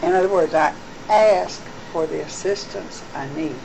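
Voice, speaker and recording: A woman's voice: on the low side, normal speed, gravelly. A woman working as a psychic gives casual one-to-one advice, instructive and emphatic, often repeating herself for weight. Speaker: psychic giving a private reading. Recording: noisy home cassette tape with hum.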